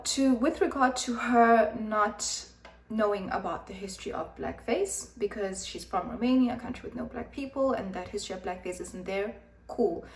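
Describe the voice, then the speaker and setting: A young woman from Zimbabwe who grew up in South Africa, giving frank, emphatic opinion commentary with many fillers. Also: mid-range voice. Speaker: vlogger. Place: room with background noise. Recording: echoey room, voice from a distance.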